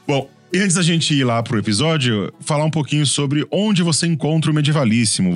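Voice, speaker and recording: A man with a São Paulo accent hosting a history podcast in a friendly, chatty way, often restarting his phrases. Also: medium pitch. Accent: São Paulo Portuguese. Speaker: podcast host. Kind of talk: casual podcast chat.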